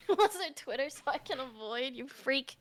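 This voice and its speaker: A woman's voice: high-pitched, lively intonation, unhurried. A woman guest in a casual chat, laughing often and rambling in slangy speech. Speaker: guest streamer.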